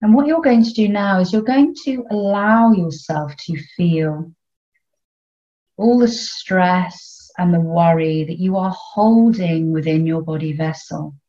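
A woman leading a guided meditation in a soothing, unhurried way, leaving long pauses between short phrases. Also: on the low side, mellow, dull audio.

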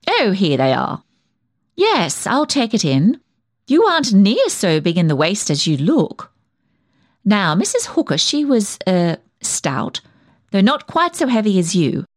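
A woman's voice: on the high side, no echo, clean studio sound. A woman performing a comic monologue as a nosy, tactless village dressmaker who chats on with prying, backhanded remarks. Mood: tactless, chatty. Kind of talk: dramatic monologue reading, comic character storytelling.

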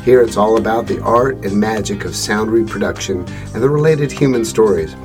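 A man hosting a podcast, reading a measured scripted introduction and then asking his guest questions. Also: lowish voice, music in the background. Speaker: podcast host.

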